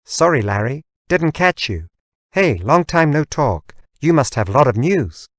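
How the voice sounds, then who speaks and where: A man's voice: on the high side, sing-song, unhurried, no echo, studio quality, pitched above the performer's own voice. A man voicing a chirpy, obliging young woman, a mellifluous hologram assistant in an audiobook.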